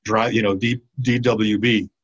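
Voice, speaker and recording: A man's voice: lowish voice, flat delivery, a little slow, husky. A man sharing a personal experience casually in a group, hesitant with bursts of emphasis. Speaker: church group participant. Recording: dull, lo-fi sound.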